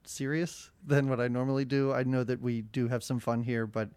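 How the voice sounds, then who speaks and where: A man's voice: medium pitch, fairly even intonation, normal speed, a little mellow, clean tone, no room sound. A man with a nasal voice, a chatty and self-deprecating guest on a podcast, laughing at his own jokes. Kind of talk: casual podcast chat.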